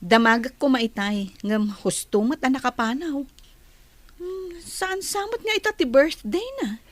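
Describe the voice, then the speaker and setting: An actress playing an easygoing, curious office worker in a radio drama, chatty and musing. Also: female voice, sits high, a little slow, no room sound.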